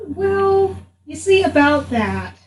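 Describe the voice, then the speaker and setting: High, animated woman playing tabletop games. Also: slow. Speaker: tabletop game player. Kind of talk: casual in-character roleplay. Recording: roomy distant mic, faint hiss.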